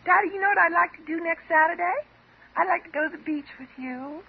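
An American actress playing an intense, unstable psychotherapy patient, theatrical and emotionally volatile, in a 1950s radio drama. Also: female voice, very high voice, fast talker, vocal fry. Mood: childlike pleading. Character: intense, unstable woman psychotherapy patient in love with her doctor. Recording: dull, hissy 1950s radio recording.

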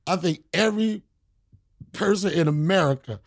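A man hosting a comedy podcast, freestyling jokey raps and bantering loudly in street slang. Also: high-pitched, moderate pace, gravelly. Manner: loud, animated, swaggering street slang. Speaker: podcast host.

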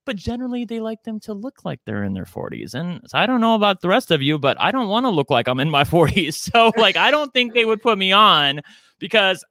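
A man's voice: high voice, big pitch swings, fairly quick, sharp tone, no echo. A man in his forties co-hosting a podcast, talking in a chatty, campy, self-deprecating way. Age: 40s. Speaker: podcast co-host. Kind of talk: casual chat.

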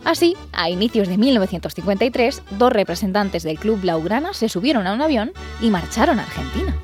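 A woman's voice: mid-range voice, expressive, brisk, over music. A woman narrating a scripted comic audio story in a theatrical manner, like a mock TV judge and host.

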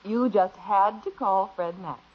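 A woman's voice: mid-range voice, measured pace, breathy edge, dull audio. An American actress playing a sensible, disapproving middle-aged wife and mother in a 1950s radio comedy, on an old broadcast recording. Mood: reproachful.

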